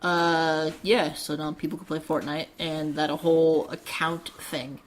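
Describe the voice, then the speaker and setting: A woman's voice: low voice, level tone, unhurried, slight background noise. A woman co-hosting a gaming podcast, chatting informally and acting out short quotes. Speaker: podcast co-host. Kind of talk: casual gaming podcast chat.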